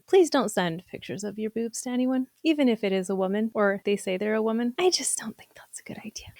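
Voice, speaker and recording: Young woman, podcast host, upbeat and giggly, fairly high voice, dry close sound. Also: no echo. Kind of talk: casual interview chat.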